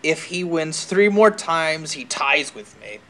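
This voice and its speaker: A man chatting casually as he streams a game, telling stories haltingly and drifting off on tangents, with some noise in the recording. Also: a little high, animated, a bit bright. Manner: halting, tangent-prone storytelling. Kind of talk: casual rambling chat over gameplay.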